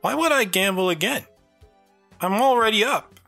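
Bright, plucky little boy, acted out. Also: medium pitch, animated, normal speed, vocal fry, no room sound, faint music behind, exaggerated voice. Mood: playful. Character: plucky little boy, mischievous risk-taker. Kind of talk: audio drama acting.

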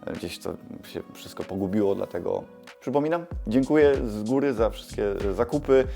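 A man's voice: on the low side, animated, normal speed, vocal fry, warm tone, soft background music. A man giving a casual, informal update as a video host, explaining things and correcting himself as he goes. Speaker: YouTube creator and host. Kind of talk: casual vlog update to camera.

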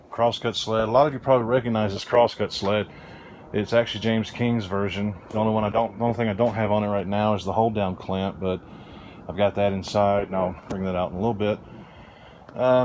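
A middle-aged man hosting a woodworking channel, giving a relaxed, rambling tour of his porch workshop. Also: on the low side, a little hiss or hum. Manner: relaxed, rambling, with restarts and fillers. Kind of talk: casual shop tour. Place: outdoor front porch workshop under a canopy.

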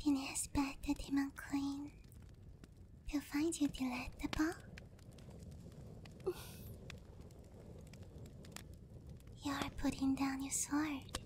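A woman acts out a giant demon queen in an ASMR roleplay, whispering softly and breathily in a high register. She is teasing, doting and quietly in command. Her delivery is unhurried and very even in pitch, coaxing the listener up close to the mic. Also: a little hiss or hum. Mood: teasing, amused. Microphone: close ASMR microphone.

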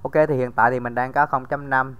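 Smooth-voiced man walking through a screen tutorial. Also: medium pitch, fairly even intonation, fairly quick, a bit bright. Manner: casual, many fillers, addresses viewers directly. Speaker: crypto tutorial host. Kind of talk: screen-recorded tutorial walkthrough.

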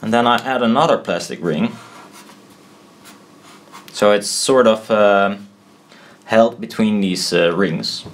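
A man explaining a homemade build informally at a desk, thinking aloud, blunt and halting, often correcting himself. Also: fairly low pitch. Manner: halting, self-doubting, trailing off and correcting himself. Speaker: maker explaining a DIY build. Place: indoors at a desk.

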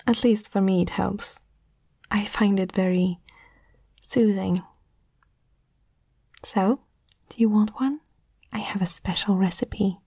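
Woman, ASMR roleplay, mostly whispered, extremely breathy, tender and reassuring, dry close-mic sound. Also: mid-range voice, dark tone, no echo. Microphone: close microphone.

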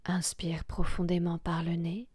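A woman guiding a relaxation meditation in a soft, breathy, half-whispered voice, hushed and soothing, with long pauses. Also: fairly low pitch, little pitch movement, dry recording. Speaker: yoga nidra guide.

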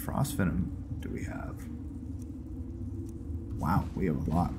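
A man giving casual, halting gameplay commentary as he thinks aloud in a laid-back manner. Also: rumbling low, heavy background noise. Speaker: video game streamer, host. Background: music.

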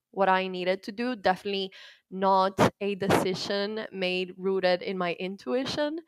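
A woman in her thirties, telling her life story as an interview guest in a reflective, soft-spoken way, pausing to search for words. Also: medium pitch, clean tone, dry recording, rough recording. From Puerto Rico.

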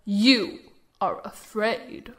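An actress playing a cold, manipulative queen mother in an educational audio drama, speaking deliberately. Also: mid-range voice, steady tone, a bit bright. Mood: cold, scheming. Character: cold, scheming queen mother goading her royal son.

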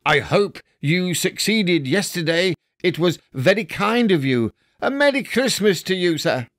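Brief: man playing a giddy old miser turned generous, raised lifted voice, slow and dry. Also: high voice, lively intonation, fairly bright tone, dry recording, pitched above the performer's own voice. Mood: eager, effusive. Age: older. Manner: eager, effusive, generous.

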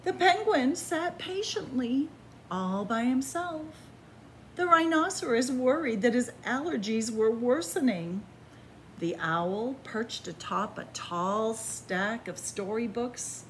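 Slow, lively woman storyteller. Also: fairly high pitch, a little hiss or hum, poor audio. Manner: slow, deliberate phrasing with dramatic pauses. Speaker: librarian reading picture books aloud to children. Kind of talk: expressive read-aloud storytelling of a picture book. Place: indoors in a library room with bookshelves.

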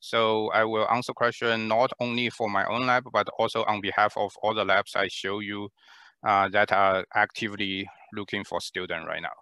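A man, presenting his research lab in an online talk, halting with many fillers and restarts, on a headset mic in a small room. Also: lowish voice, fairly even intonation, pushed and bright, dry recording, low-quality recording. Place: small room at home, indoors.

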